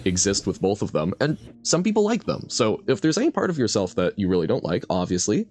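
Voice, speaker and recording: Animated, low-voiced man chatting while streaming a game. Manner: rambling, self-reflective, laughs often; reads and answers chat. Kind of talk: casual gameplay commentary.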